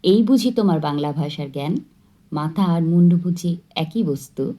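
A woman's voice: on the low side, normal speed, warm tone, lightly acted. A woman voicing a young male admirer, teasing and mock-serious, in an audiobook story.

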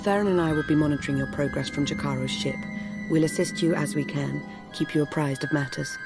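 An actress playing a composed, calculating intelligence agent in a video game, formal and precise. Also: female voice, low-pitched, fairly even intonation, a little fast, over loud music. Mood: calm assurance. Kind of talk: video game character acting.

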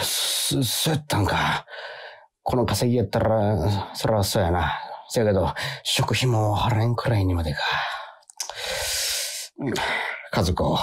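A man's voice: on the low side, slightly rough. An actor playing a struggling, tender working-class father with a Kansai accent and a stammering, flustered manner. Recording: music bed behind the voice.

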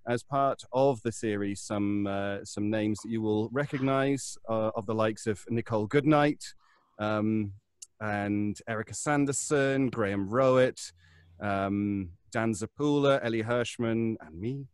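A man's voice: fairly low pitch, a little slow, clean tone, dry recording. Man chatting in a dry-sounding room. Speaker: podcast voice actor and panelist. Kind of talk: casual promotional chat. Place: indoors, on a video call.

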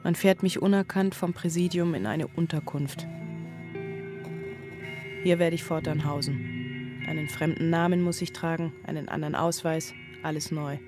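A woman playing a haunted, bewildered single mother in a German radio drama, speaking in measured, literary fragments. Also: low-pitched, natural voice.

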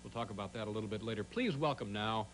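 A man hosting a late-night TV talk show, asking questions haltingly with many fillers, in a studio before an audience. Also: on the low side, slight background noise. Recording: loud band music behind.

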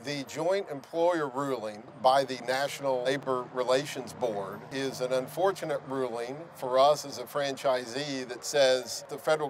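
A middle-aged man with a medium-pitched voice gives an outdoor interview as a franchisee. Faint traffic noise is heard behind him. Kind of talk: documentary interview. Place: outdoors by a building.

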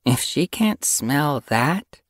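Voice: medium pitch, sing-song, very slow, no echo, voice pushed up, full character voice. A narrator voicing a sensible young female cat apprentice with a dry, scolding manner in an animal storybook. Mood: observant, brisk.

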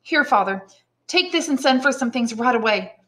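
A woman's voice: on the high side, expressive, moderate pace, a bit bright, voice pushed up. A woman voicing an eager, earnest young sailor son in an audiobook, speaking emphatically. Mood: eager, reassuring. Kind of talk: audiobook character dialogue.

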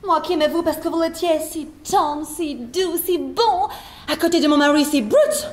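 A woman's voice: sits high, normal speed, a little mellow, lightly acted. An actress playing an exuberant, smitten English married woman in a stage farce, gushing in English-accented French and mangling idioms. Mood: wounded, tender. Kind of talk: broad theatrical stage farce acting. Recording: echoey stage with clear background noise.

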